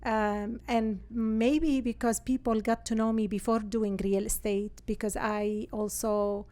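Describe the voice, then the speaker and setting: Casting: woman, native Arabic speaker with Arabic accent, slightly high pitch, earnest halting delivery, podcast guest in conversation. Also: flat delivery, mellow. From Syria. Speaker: interview guest, real estate agent.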